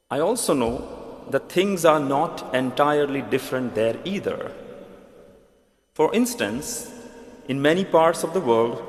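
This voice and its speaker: A man with a thick Indian accent preaching an earnest, measured sermon, now and then restarting words. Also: fairly high pitch. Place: large church hall. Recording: echoey church hall with long reverb.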